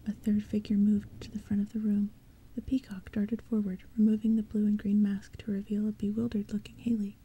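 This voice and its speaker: Brief: whispering female narrator, very breathy, dark soft tone. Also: medium pitch, completely flat delivery, a little fast, hardly any pauses, highs cut off. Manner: soft, hushed whisper throughout. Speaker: audiobook narrator. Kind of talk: whispered audiobook reading.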